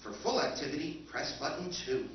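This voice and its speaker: An actor playing a calm, earnest male lifeboat machine that announces itself stiffly, in a live radio drama recreation. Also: a little high, fairly quick, slight background noise. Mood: formal. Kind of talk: 1950s radio drama acting. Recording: echoey hall, far mic, muffled.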